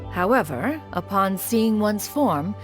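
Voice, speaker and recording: A woman's voice: medium pitch, expressive, takes it slowly. A woman voicing a proud yet doting elder crane immortal in a game, speaking in formal, archaic, stately phrases over music. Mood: stately recounting. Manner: formal, archaic, stately, calls herself "one". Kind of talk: scripted game voice acting, storytelling monologue. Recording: clean studio voice with music behind.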